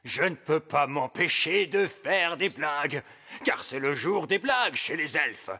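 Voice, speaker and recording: A jovial old elf from a children's cartoon. He speaks slowly and courteously with a playful swing in pitch, in long reverb with music behind him. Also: lively intonation, pushed and bright, husky, heavily acted. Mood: gleeful. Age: older. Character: wise old elf, jovial mischievous joker. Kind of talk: animated cartoon acting.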